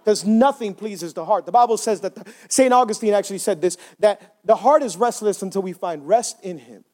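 A man's voice: high voice, fairly quick, mellow. A male pastor preaching a sermon in a conversational way, often checking in with the congregation for responses. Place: church service.

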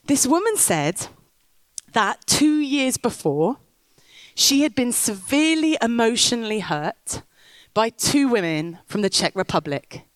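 A woman's voice: a little high, sing-song, measured pace, dry recording, poor audio. A woman preaching on stage, telling a story and rousing the crowd. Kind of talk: sermon with storytelling. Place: stage in a big top tent.

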